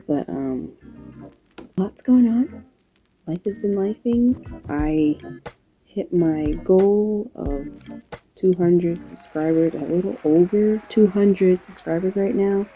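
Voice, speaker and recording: A woman with a low-pitched voice and a New York accent that has slight Southern touches. She talks casually to camera at a slow, relaxed pace, dry and low-key, with music in the background. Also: gravelly, low-quality recording. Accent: New York with occasional Southern touches. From New York, United States. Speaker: video creator and graphic designer. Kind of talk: casual talk to camera answering questions.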